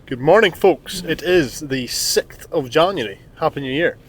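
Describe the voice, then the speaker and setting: A man's voice: on the high side, animated, moderate pace. Stuffy-nosed young Scottish man. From Scotland. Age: 20s. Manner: candid, chatty, with fillers and "uh". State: congested with a cold. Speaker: vlogger and business owner. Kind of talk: casual vlog narration and chat. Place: outdoors at a job site, snowy street.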